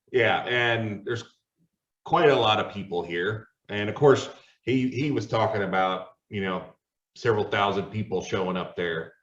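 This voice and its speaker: Male host, lowish voice, casual hosting, dull distant-mic sound in an echoey room. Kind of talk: casual talk show hosting.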